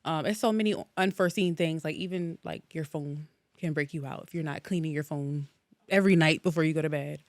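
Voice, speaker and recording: A woman's voice: sits low, brisk, dry recording. A woman who works as an esthetician, a guest on a casual podcast, speaking in a candid, chatty, matter-of-fact way. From Norwalk, Connecticut, USA. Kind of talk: casual interview chat.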